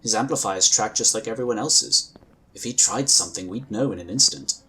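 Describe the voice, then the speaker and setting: A man playing a calm, diplomatic male inquisitor who talks in a measured, courteous way. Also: on the low side, rough recording. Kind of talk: fan audio drama acting.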